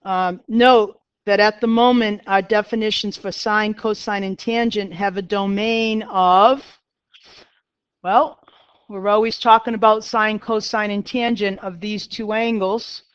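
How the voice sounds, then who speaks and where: A woman's voice: mid-range voice, fairly even intonation, a little slow, smooth, dry recording, highs cut off. A middle-aged woman with a Boston accent, teaching a math class in a chatty, self-deprecating way and talking straight to her students. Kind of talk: classroom lecture.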